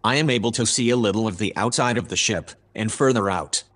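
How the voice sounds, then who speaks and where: A man playing a calm, formal artificial intelligence, reporting in a measured, dispassionate way in a sci-fi audio drama. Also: lowish voice, slightly rough. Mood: detached.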